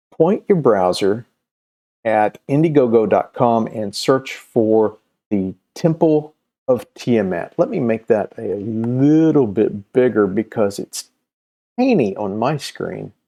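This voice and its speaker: A middle-aged man talking casually to viewers as a video host, unscripted, with a halting, meandering delivery and long hesitations. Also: medium pitch, expressive, vocal fry, mellow. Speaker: host of a comics recommendation video channel.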